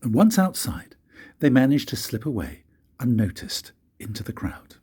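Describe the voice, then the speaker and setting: An older man reads a children's story like a grandfather at storytime. His voice is soft-edged and raspy, and it rises and falls expressively. He takes his time, pausing for drama. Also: medium pitch, big pitch swings. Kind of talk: storybook narration.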